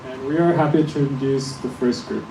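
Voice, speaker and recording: A man's voice: medium pitch, brisk, vocal fry. A young man in his late teens introduces a student presentation in an upbeat, announcing manner. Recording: echoey room, far mic, air conditioning hum.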